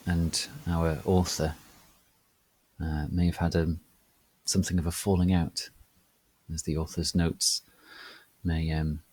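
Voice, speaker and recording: A man giving a formal, measured, solemn introduction as the host of a dramatic reading. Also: deep voice, a little mellow, breathy edge, no echo, poor audio. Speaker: narrator, host of a serial reading.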